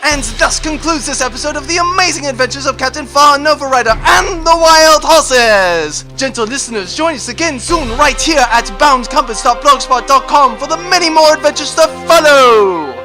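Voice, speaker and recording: A man's voice: extremely high pitch, animated, moderate pace, few pauses, over music. A man announcing the close of an audio adventure serial in a scripted, theatrical style.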